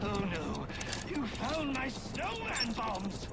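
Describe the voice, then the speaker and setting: A giddy game villain, taunting with mock dismay. The very high voice drawls slowly. It sits in very loud background noise. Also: male voice, wildly swooping pitch, gravelly, heavily acted. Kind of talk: video game cutscene acting.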